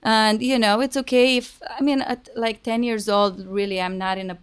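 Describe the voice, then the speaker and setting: A woman's voice: fairly high pitch, steady tone, normal speed, clean tone. A woman in her thirties with a Romanian accent, a business founder telling her story in an interview, earnest and full of ums and uhs. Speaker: guest, Pilates business founder. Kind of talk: casual interview.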